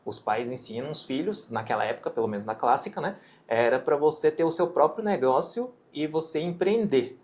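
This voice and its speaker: A man from Japan whose first language is Japanese, speaking with a Japanese accent as he explains his teaching ideas in an interview, measured and explanatory. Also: fairly high pitch, expressive, darkish tone. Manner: measured, explanatory, often ends phrases with a questioning tag. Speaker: physician and medical educator.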